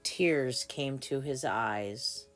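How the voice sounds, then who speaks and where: A woman reading a children's storybook aloud with deliberate, dramatic phrasing for small children. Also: rumbling low, over music. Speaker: story time reader. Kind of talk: expressive children's storybook narration.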